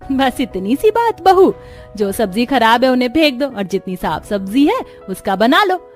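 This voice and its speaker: A woman voicing a bossy, sharp-tongued middle-aged mother-in-law in a cartoon story. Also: on the high side, sing-song, moderate pace, soft background music. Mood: brisk, commanding.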